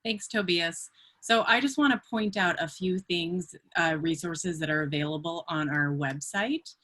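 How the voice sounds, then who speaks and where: A woman with a low-pitched voice speaks as an associate director who advises students. She explains office services in an informal presentation style. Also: measured pace, sharp tone.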